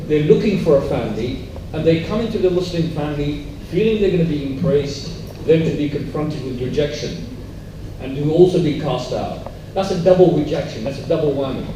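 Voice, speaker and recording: Man, fairly high mellow voice, emphatic panel answer, distant and very reverberant. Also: expressive, brisk. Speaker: panel speaker. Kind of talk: panel answer at a community talk. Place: large echoey room at a public event. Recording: very echoey hall, distant mic, faint noise.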